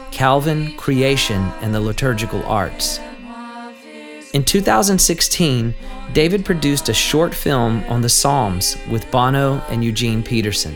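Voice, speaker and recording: Earnest male podcast host. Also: medium pitch. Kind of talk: scripted intro then interview chat.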